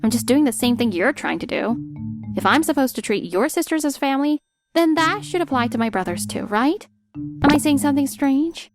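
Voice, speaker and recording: An actress playing a fed-up, sharp-tongued wife in a dramatized manga dub, sarcastic and pointed. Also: female voice, sits high, animated, normal speed. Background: music.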